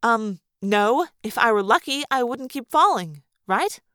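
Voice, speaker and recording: A wry young girl from a storybook, acted by a woman, pitched high and flippant. She is sarcastic about any fuss, and the sound is dry and close. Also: lively intonation, a little slow, bright, forceful tone, no room sound. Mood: deadpan sarcasm.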